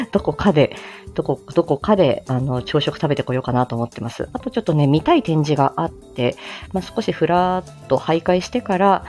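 A woman's voice: deep voice, lively intonation, fairly quick, darkish tone, faint music behind. A woman chatting casually on a morning livestream in a polite, giggly, talkative way. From Japan, outside Tokyo. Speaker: livestream host, amateur voice drama performer.

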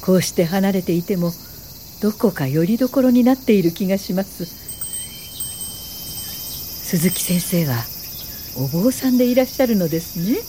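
女優が演じる 落ち着いた柔らかな声の五十代女性. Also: female voice, medium pitch, animated, warm tone, a little hiss or hum, lightly acted. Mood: courteous. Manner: polite, measured, reflective storytelling. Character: thoughtful 58-year-old woman welfare official recalling her life. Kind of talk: radio drama narration and dialogue.

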